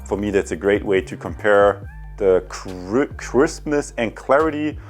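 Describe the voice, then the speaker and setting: Low-voiced man, enthusiastic gadget reviewer. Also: animated, measured pace, faint music behind. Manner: enthusiastic, addresses viewers directly. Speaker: tech product reviewer. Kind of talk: casual explanatory video review.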